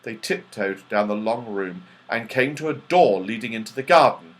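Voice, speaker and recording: A man reading a children's story aloud in an animated, carefully enunciated way, as an audiobook narrator. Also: fairly low pitch, moderate pace, low-quality recording. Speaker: audiobook reader.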